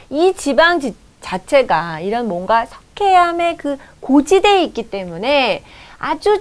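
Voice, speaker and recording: A woman in her thirties teaching an English lesson in a studio, reading the English text slowly and clearly and then explaining it in Korean. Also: fairly high pitch. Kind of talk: video lesson explaining a news article. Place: studio in front of a projected screen.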